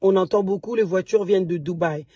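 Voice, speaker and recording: A woman from DR Congo, an entrepreneur chatting casually to her viewers in a vlog. She is slightly hoarse and often restarts and repeats words. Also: lowish voice, brisk, dark tone, no echo, studio quality. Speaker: entrepreneur and vlogger.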